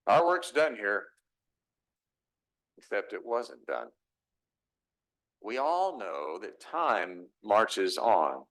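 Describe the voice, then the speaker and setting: A man's voice: on the low side, sing-song, very slow, long pauses, fairly bright tone. A middle-aged man from Texas with a Texas accent, preaching a reflective, conversational sermon in a church, asking his listeners questions. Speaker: pastor. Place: church sanctuary.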